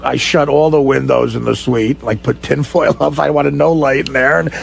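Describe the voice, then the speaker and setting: A man telling bawdy stories as a radio guest in a casual, halting, profane and joking way. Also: medium pitch, steady tone, gravelly, husky.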